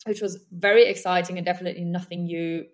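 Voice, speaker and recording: Brief: young woman, lowish voice, sales guest on a podcast, fluent and explanatory. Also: fairly even intonation, quick pace. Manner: fluent, explains terms as she goes. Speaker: guest, senior account executive. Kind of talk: podcast interview.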